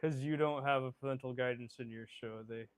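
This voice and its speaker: A man's voice: medium pitch, steady tone, quick pace, clear, resonant tone, dry recording. A man in his twenties chatting casually on a livestream, reading viewer comments aloud and answering them with laughs, stumbles and repeated words. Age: 20s. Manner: reads viewer comments aloud and rambles back. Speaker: streamer.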